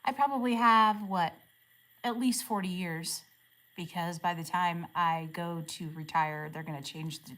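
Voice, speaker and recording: A woman in her forties chatting casually with viewers on a livestream, asking friendly questions and murmuring softly when she reads comments. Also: lowish voice, steady tone, a little slow. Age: 40s. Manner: drops to a soft murmur when reading comments aloud. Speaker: live streamer.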